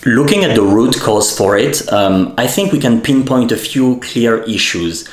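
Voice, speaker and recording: A man, a native French speaker, hosting a video essay and speaking in a measured way, often pausing with fillers and restarting his sentences. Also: medium pitch, lively intonation. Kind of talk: spoken commentary essay. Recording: echoey room with faint music.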